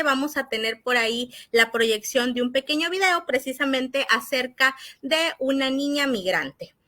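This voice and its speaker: A woman with a higher-than-average, sharp and bright voice hosts a talk. She delivers a formal introduction in a courteous way. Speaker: webinar host.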